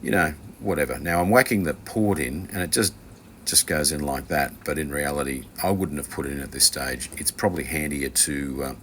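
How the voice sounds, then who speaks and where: A man's voice: rumbling low, brisk, few pauses, a bit bright, slight background noise. A man giving an informal, unscripted hands-on tutorial as an instructor, hesitant and rambling, with dry, offhand asides. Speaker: instructor from a loudspeaker kit maker.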